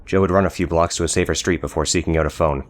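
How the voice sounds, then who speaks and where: A man's voice: deep voice, steady tone, racing. A man reading scripted, dramatic audiobook narration.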